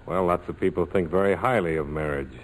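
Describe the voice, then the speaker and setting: An actor playing a tough, cynical skipper, terse and wry, in a 1940s radio drama. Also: male voice, sits low, a little fast, slight background noise, lightly acted, low-quality recording. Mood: curt, sardonic. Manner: terse, hard-boiled, wry. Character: tough, cynical army-major skipper, cool and worldly. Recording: old 1940s radio broadcast, music underneath.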